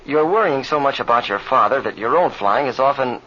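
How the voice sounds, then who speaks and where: A man's voice: medium pitch, expressive, fairly quick, bright, forceful tone. An American actor playing an eager, encouraging young male pilot in an old radio serial, with earnest, folksy delivery. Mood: reassuring. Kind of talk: 1930s radio serial acting. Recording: muffled, noisy old radio recording.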